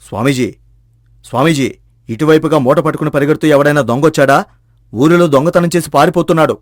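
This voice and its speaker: A man playing a calm hermit sage in a moral storybook tale, soft-spoken and measured as he gently admonishes. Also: on the high side, no room sound, studio quality, natural voice.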